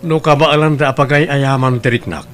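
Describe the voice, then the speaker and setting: A man's voice: medium pitch, fairly even intonation, brisk, a bit bright, a little hiss or hum, lightly acted. A man playing a carefree, flippant young womanizer in an Ilocano family audio drama. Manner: flippant, nonchalant. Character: carefree, cocky young womanizing playboy son. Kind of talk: Ilocano radio-style family drama acting.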